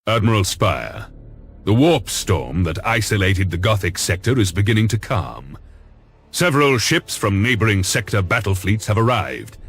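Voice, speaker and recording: An actor playing a grave, commanding Lord Admiral in a video game cutscene, with formal and solemn delivery. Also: male voice, fairly low pitch, very animated, normal speed, husky, exaggerated voice. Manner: formal, authoritative, solemn oratory. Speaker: fleet commander. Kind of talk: scripted dramatic game cutscene acting. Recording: music behind the voice.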